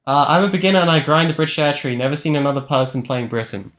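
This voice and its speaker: A man's voice: a little high, fast talker, a bit bright. A young man streaming a game, joking and rambling with lots of fillers. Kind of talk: casual commentary while playing.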